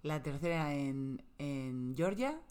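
A woman's voice: sits low, expressive, takes it slowly, darkish tone, clean tone. A young woman from Spain talking casually as a guest, chatty, with many fillers and frequent laughs. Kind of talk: casual conversational interview.

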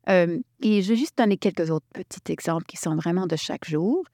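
A woman from Quebec leading a workshop, talking in an animated way and restarting her sentences often. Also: lowish voice, fairly quick, dry recording, studio quality. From Quebec, Canada. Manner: animated, thinks aloud with many restarts. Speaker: workshop facilitator. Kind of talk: workshop talk.

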